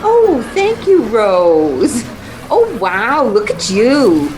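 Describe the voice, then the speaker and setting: A woman's voice: fairly high pitch, huge pitch swings, drawn-out words, noisy background, full character voice. A woman playing a fussy, fretful yet gushing lady passenger in a radio play. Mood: gushing, excited.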